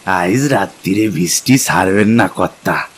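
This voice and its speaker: A man playing a huge, simple village porter with a heavy husky voice and rural Bengali accent in an audio drama. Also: low-pitched, expressive, measured pace, slight background noise. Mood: matter-of-fact, deferential. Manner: simple rustic way of talking.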